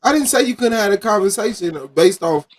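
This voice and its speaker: A man's voice: sits high, animated, a little fast, slight background noise. A man hosting a casual debate podcast, arguing his point insistently in slangy talk.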